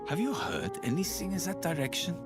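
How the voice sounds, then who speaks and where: A shady, boastful transport boss, gruff and distrustful. His lowish voice swings widely in pitch, with clear music behind him. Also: very animated, a little fast. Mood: suspicious. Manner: gruff, suspicious. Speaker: game character. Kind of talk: voiced game dialogue.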